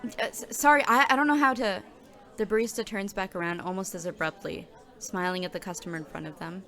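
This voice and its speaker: A woman's voice: mid-range voice, fast, bright, forceful tone. A woman performing scripted audio drama narration as a nervous, overworked new coffee shop worker. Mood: flustered, polite. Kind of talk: scripted second-person narration.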